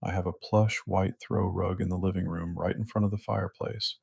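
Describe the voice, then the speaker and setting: A man acting a chivalrous romance-drama hero with a very gravelly, husky low voice. He speaks evenly and earnestly, reassuring and measured, in a protective way. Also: fairly even intonation, a little mellow, no echo, studio quality, heavily acted. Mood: sincere. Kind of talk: romance audio drama dialogue.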